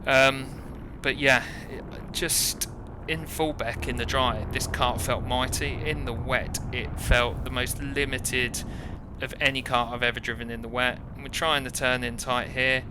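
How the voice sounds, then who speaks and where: A man's voice: steady tone, measured pace. A British man giving informal first-person commentary on his own kart race, in a wry, self-deprecating manner. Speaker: kart racer narrating own race footage. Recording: clear engine rumble behind the voice.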